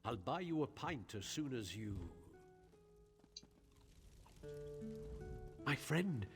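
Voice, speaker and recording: A scheming silk merchant from a fantasy video game. He is haughty and spiteful, wheedling with theatrical flair. His pitch swings widely, and faint music plays underneath. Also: big pitch swings, normal speed, gravelly. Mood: smooth, disbelieving. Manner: theatrical, pleading turning to sneering contempt. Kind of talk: dramatic video game voice acting.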